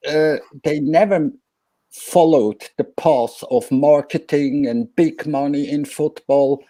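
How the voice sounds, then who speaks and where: Man, from Switzerland, mellow dark voice, emphatic, repetitive phrasing, football talk, dry sound. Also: on the high side, slow, no echo. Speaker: guest, businessman and former football player. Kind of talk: animated opinion talk about football.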